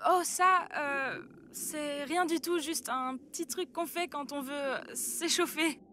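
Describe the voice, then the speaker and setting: A woman voicing a bubbly, excitable seahorse girl in an animated series, with a gushing, enthusiastic delivery. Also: high voice, slow pace, slight background noise, exaggerated voice. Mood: sheepish. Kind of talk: French animated series dubbing.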